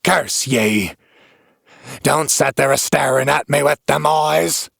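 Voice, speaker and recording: A crazed old drunkard from a horror audiobook. He rants in frantic rustic dialect with a gravelly, creaking voice, rambling between muttering menace and terror. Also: medium pitch, takes it slowly, fairly bright tone, no room sound, studio quality, voice pushed up, exaggerated voice. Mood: manic, defiant. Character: crazed, terrified old New England drunkard ranting of horrors.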